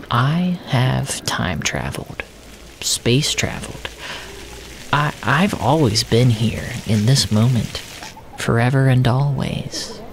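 A man narrating surreal first-person audio fiction in a deliberate, descriptive way, often in short fragments. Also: mid-range voice, a little hiss or hum.

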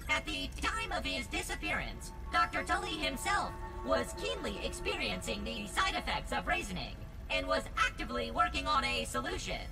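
Video game robot helper: heavily fried, animated delivery, stiffly formal, wordy, eccentric, glitchy stutter. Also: female voice, fairly high pitch, normal speed, vocal fry, few pauses, full character voice. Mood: informative. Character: chirpy, wordy, eccentric robot lab assistant. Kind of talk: scripted video game dialogue. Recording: loud music behind. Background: music.

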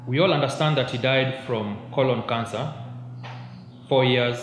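A man's voice: medium pitch, normal speed. A young man with a Kenyan accent giving a conversational youth sermon at a pulpit, holding a handheld mic close and often checking in with his listeners. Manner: mixes in Swahili, keeps checking in with "yeah?". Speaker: youth preacher. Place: church hall, at a pulpit. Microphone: handheld mic held close. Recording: echoey church room, faint background hum.

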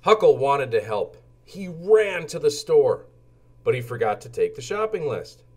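A man reads a children's storybook aloud at an unhurried pace. His delivery is expressive, with lively swings in pitch, shaped for young listeners. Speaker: children's book narrator. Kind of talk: animated read-aloud storybook narration.

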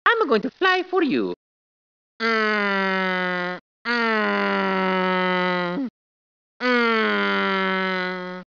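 A man's voice: sits high, vocal fry, bright, forceful tone, clean tone, no echo, full character voice. A man voicing a cheerful, clowning cartoon plumber in a video game, with a put-on Italian accent, squeals and laughs. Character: cheerful plumber man, jokey, eager and bouncy. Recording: dull, dry game audio.

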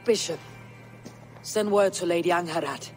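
An actor playing a grim, blunt Viking raider in a game cutscene. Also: male voice, high-pitched, soft background music, lightly acted. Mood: steady. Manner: blunt, measured. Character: stern male Viking raider, grim and steady. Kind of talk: video game cutscene acting.

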